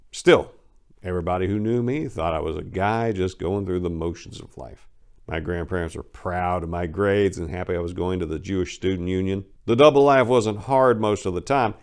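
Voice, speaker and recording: A man's voice: low-pitched, a little mellow. A man narrating a first-person story in a dramatic audiobook reading, with a blunt, streetwise, conversational manner.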